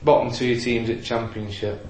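A man's voice: mid-range voice, little pitch movement, moderate pace, a little mellow. A man chatting casually about football, hesitant and searching for names. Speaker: podcast pundit. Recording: echoey room.

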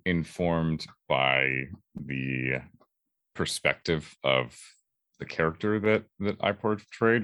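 Male interviewee, extremely low pitch, measured and halting, unhurried, reflective voice actor. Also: fairly bright tone, no echo.

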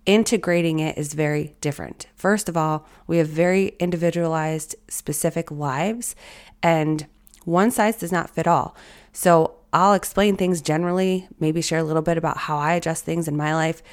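A woman in her thirties hosting a solo podcast, her voice raspy and hoarse, talking in a reflective, encouraging way straight to her listeners. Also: low-pitched, steady tone, moderate pace. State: hoarse from allergies or illness. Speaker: podcast host.

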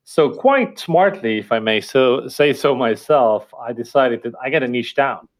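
A man's voice: mid-range voice, expressive, unhurried. Man with Estonian as first language. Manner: thoughtful, hesitant with fillers and restarts. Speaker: podcast guest. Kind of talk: casual interview talk.

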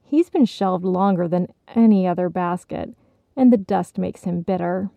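A woman voicing a gentle, welcoming male pretzel gift basket in a story, soothing and patient. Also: lowish voice, moderate pace, vocal fry, dry recording. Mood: consoling.

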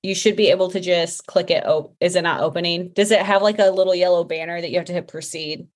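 A woman's voice: on the low side, steady tone, quick pace. A woman co-hosting a podcast in casual chat, with a self-deprecating, joking manner, speaking over a remote call. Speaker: podcast co-host.

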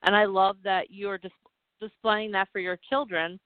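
A woman with a Philadelphian accent interviews a guest in a chatty, encouraging way, often reaching for "like" and "um". Her voice sits at a middle pitch. It sounds dry and close, squeezed into a narrow phone-like band. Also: level tone, normal speed, no echo. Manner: encouraging, chatty, many fillers. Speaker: podcast interviewer. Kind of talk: casual interview.

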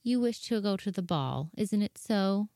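A man voicing a kindly fairy godmother in a storybook reading, speaking gently and reassuringly. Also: high voice, lively intonation, unhurried, dry recording, clean studio sound, pitched above the performer's own voice.

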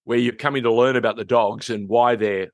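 A mature man hosts a chatty TV review in a mid-pitched voice. He leans on phrases and repeats them for emphasis. The sound is dry, with very little room in it. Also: fairly even intonation, brisk, no echo. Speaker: host reviewing TV series. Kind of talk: conversational review chat.

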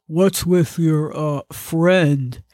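Woman acting a steady, unhurried male police officer. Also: deep voice, no echo, clean studio sound. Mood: firm. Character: deep-voiced, tall, concerned male police officer. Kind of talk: comic audiobook character acting.

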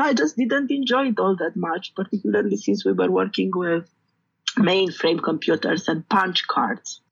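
A woman's voice: fairly low pitch, gravelly, husky, highs cut off. A woman in her fifties from Romania, a professor telling her personal story in a thoughtful interview. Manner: thoughtful, storytelling, occasional soft asides. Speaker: professor and guest.

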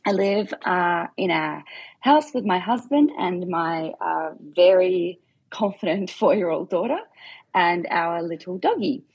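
A woman's voice: fairly low pitch, animated, unhurried, darkish tone, husky. A woman, chatting as a podcast guest, talking freely with frequent fillers and easy laughter. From South Africa, raised in Australia. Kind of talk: casual interview chat.

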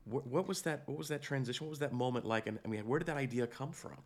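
A man hosting a podcast in a conversational interviewing style, haltingly repeating phrases as he goes. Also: medium pitch. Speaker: podcast host.

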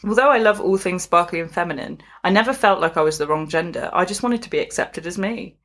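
A woman's voice: on the low side, fast, a bit bright. A woman reading a story aloud in a casual, amused way, giggly and breaking off to comment like a podcast host.

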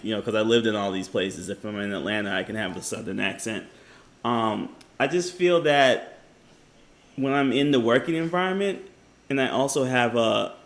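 A man co-hosting a casual debate podcast, talking with many fillers and restarts, growing animated when teased. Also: mid-range voice. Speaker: podcast co-host.

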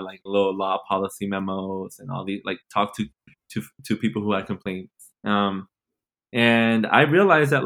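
A man in his twenties with a fairly low voice talks as a guest in a casual interview. His way of speaking is informal and playful, with plenty of slang and conversational filler words. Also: a bit bright. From born in Mexico, grew up in Los Angeles and Nashville. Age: 20s. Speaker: podcast guest, teacher.

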